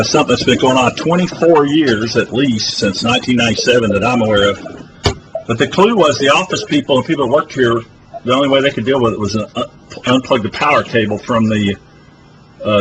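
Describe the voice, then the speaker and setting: A man's voice: medium pitch, fairly quick, noisy background. A man hosting a live public access broadcast, rambling and hesitant with many fillers as he leaves voicemails. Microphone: phone camera.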